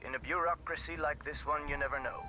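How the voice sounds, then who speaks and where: A man's voice: on the high side, steady tone, fast talker, lightly acted. Man as wry government office clerk, music behind. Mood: dry, businesslike. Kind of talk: scripted adventure game dialogue.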